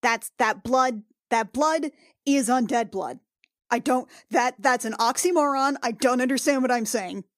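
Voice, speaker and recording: Higher-voiced woman, halting, in close dry sound. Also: dry recording. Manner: halting, repeats herself. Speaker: player in a tabletop game. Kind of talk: in-character improvised roleplay and game talk.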